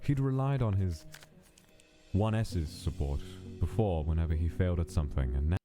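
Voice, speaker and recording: Male actor as cold scientist narrator, deep voice, formal, measured, clinical log entries, music behind. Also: lightly acted. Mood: clinical, concerned. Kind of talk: scripted dramatic monologue in a comic dub.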